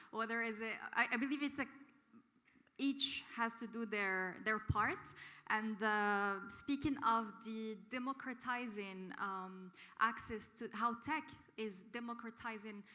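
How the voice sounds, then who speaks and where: A woman's voice: a little high, fairly even intonation, measured pace, pushed and bright. A woman moderating a conference panel, talking unscripted and somewhat hesitantly as she searches for words. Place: conference hall. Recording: echoey conference hall with long reverb.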